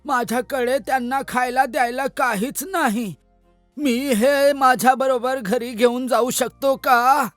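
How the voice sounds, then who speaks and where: A man's voice: very high-pitched, steady tone, fairly bright tone, dry recording, soft background music. An actor playing a weary, imploring poor old man in a children's cartoon. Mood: pleading. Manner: slow, imploring.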